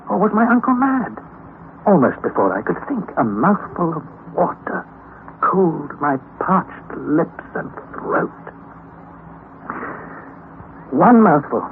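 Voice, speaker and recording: An actor playing a weary, despairing young nephew who narrates a perilous expedition in a formal, anguished radio-drama style, on a thin, noisy vintage recording. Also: male voice, a little high, sing-song, a little slow, narrow, tinny audio. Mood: moved, amazed. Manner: formal, anguished, theatrical delivery. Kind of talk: dramatic first-person radio play narration.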